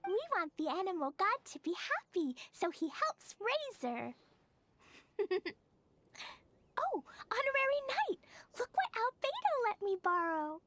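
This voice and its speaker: A woman's voice: very high-pitched, very animated, a little slow, a bit bright, exaggerated voice. A woman voicing a sweet, innocent little girl in a video game, chirpy and earnest. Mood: innocent, eager. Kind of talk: video game character acting.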